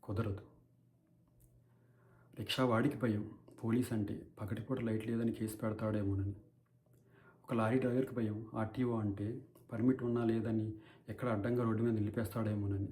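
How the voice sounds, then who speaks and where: A man's voice: mid-range voice, dark tone, lightly acted. A man acting an anguished, righteous crusader in an impassioned, declamatory courtroom monologue against corruption. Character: righteous, anguished male crusader against bribery addressing a judge. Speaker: actor performing a film courtroom monologue. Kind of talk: dramatic theatrical courtroom monologue.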